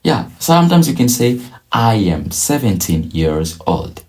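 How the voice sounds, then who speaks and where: A man teaching a beginner language lesson, drilling each phrase slowly and repeating it several times. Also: medium pitch, very animated, a little mellow. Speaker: English teacher.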